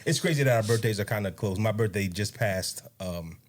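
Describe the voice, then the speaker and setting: A man's voice: on the low side, animated, a little fast. A man in his late thirties co-hosting a podcast with his wife. He talks in a laid-back, slangy, joking way. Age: 30s. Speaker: podcast co-host. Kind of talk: casual chat with his wife.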